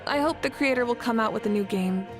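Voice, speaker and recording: Game casting: actress as confident young female fire archon, warm and assured, slightly high voice, music underneath. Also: quick pace, lightly acted. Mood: disappointed. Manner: poised, earnest, assured. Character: Mavuika, confident warm warrior archon of fire, woman leader. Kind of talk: video game character voice lines.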